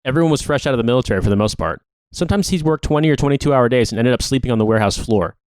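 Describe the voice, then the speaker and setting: A man's voice: mid-range voice, animated, very fast, darkish tone, dry recording. A man co-hosting a podcast, reading a long article aloud. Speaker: podcast co-host.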